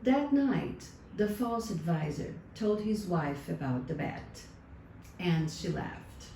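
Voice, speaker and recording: A woman telling a folktale in an unhurried, pausing way, with asides to her listeners. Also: fairly low pitch, animated, vocal fry, mellow. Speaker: storyteller. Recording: distant, roomy sound with faint noise.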